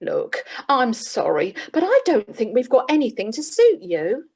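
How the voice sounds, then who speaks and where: A woman's voice: on the high side, huge pitch swings, unhurried, darkish tone, breathy edge, exaggerated voice. A woman playing a businesslike, slightly weary job agent in a comic radio play. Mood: dry. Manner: businesslike, dry, faintly exasperated. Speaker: employment agent.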